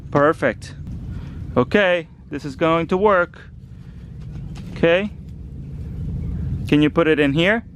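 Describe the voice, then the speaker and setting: Chatty higher-voiced man from El Salvador. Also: slow, long pauses, a little hiss or hum. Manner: chatty, coaxing a toddler gently. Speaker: home gardener vlogger. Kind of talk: casual garden vlog. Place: outdoor garden by a greenhouse.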